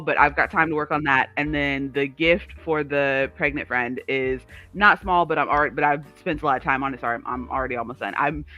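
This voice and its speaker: A man's voice: a little high, bright, forceful tone, muffled recording. A man co-hosting a livestream, chatting casually in a rambling, digressive way. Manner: chatty, digressive, talks to live viewers. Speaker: livestream co-host.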